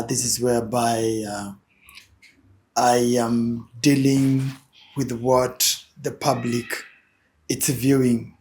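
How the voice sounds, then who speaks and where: A young man with a Kenyan accent sits as an interview guest and talks at an ordinary middle pitch. He hesitates a lot, restarting phrases and filling gaps with "uh" and "um". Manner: hesitant, many fillers and repeated words. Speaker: guest, image consultant. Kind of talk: interview answers.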